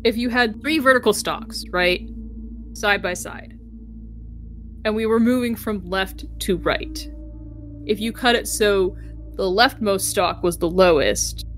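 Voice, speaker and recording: A woman's voice: mid-range voice, unhurried, long pauses, faint music behind. A woman playing a tabletop game, chatting casually and explaining her ideas as she thinks aloud. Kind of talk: casual group game chat.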